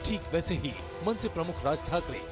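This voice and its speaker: A man delivers a dramatic scripted news voice-over. His pitch is on the high side for a man, and music plays clearly behind him. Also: lively intonation, moderate pace. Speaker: news report narrator. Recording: voice-over laid over loud music.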